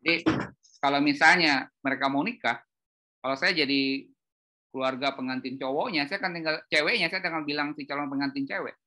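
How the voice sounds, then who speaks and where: A middle-aged man giving an informal, animated explanatory talk on a video call, telling stories and acting out dialogue. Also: fairly high pitch, fairly quick. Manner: animated storytelling, acts out dialogue. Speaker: speaker at an export training webinar. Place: indoor room on a group video call.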